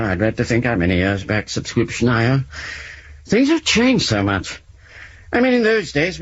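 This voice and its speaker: An urbane English male novelist for 1970s radio drama. His husky voice swoops widely as he spins wry, mock-courteous remarks in long, ornate sentences. The recording is dull and lo-fi. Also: mid-range voice. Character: flippant, theatrical male novelist, ageing former communist.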